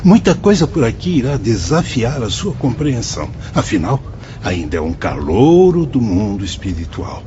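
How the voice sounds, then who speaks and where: An actor playing a kindly priest who directs a watchtower, speaking in a warm, paternal, welcoming way in a radio drama. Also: male voice, mid-range voice, very animated, normal speed, a little hiss or hum, close to an everyday voice. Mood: guiding. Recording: dull lo-fi audio with music bed.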